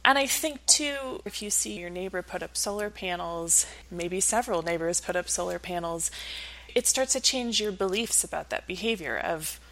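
A woman's voice: lowish voice, expressive, moderate pace, fairly bright tone, rough recording. A woman speaking as a research professor and interview guest, explaining things conversationally.

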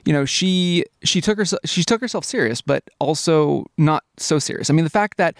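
Gravelly-voiced man hosting a podcast. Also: a little high, moderate pace, no echo. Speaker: podcast host. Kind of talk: casual podcast chat.

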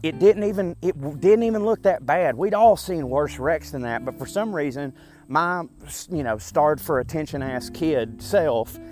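A man's voice: on the high side, expressive, normal speed, a little mellow, soft background music. A man in his thirties with a Southern US accent, talking casually in a chatty, profane, rambling way as a podcast host. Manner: chatty, profane, self-deprecating, frequent fillers.

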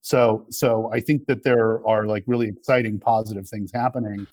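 Middle-aged male guest commentator, slightly low voice, dry recording, measured and conversational as he works through arguments. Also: fairly even intonation, a little mellow, dry recording. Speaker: interview guest, political commentator. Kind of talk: casual political analysis.

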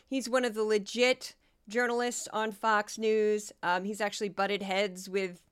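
A woman's voice: medium pitch, little pitch movement, a little slow, clear, resonant tone. A woman hosting a news commentary podcast, talking sardonically and directly to her listeners while she is losing her voice. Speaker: podcast host.

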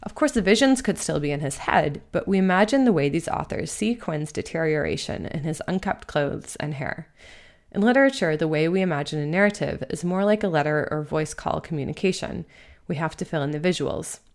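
Low voiced woman w lots of vocal fry reading a literary essay out loud, measured n bookish. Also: lively intonation. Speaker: host reading their own essay. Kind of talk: scripted narration of a literary essay.

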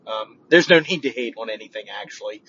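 A man's voice: lowish voice, animated, a little fast, a bit bright. A man leaving a casual voicemail as a podcast listener, speaking in a frank, conversational way. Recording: dull, noisy, distant voicemail recording.

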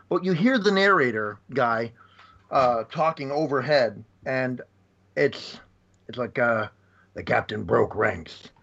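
Guy rambling thru a movie scene, lots of restarts, muffled lo-fi audio. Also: medium pitch. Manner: rambling, halting restarts, excited retelling. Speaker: podcast guest. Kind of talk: casual podcast chat. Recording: dull lo-fi.

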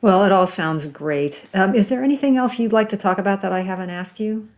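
A woman's voice: mid-range voice, brisk. A woman hosting a conversational interview. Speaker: interviewer, host.

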